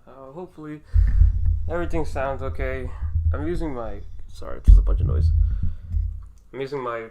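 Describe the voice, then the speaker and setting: A man's voice: mid-range voice, animated, moderate pace, creaky, dark tone, slight background noise. A man who is sick, talking casually and self-consciously into a desk microphone at home as he sets up a game stream, with restarts and fillers. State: sick, with a lowered voice. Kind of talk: casual live-stream chat. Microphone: desk USB microphone, with headphones on.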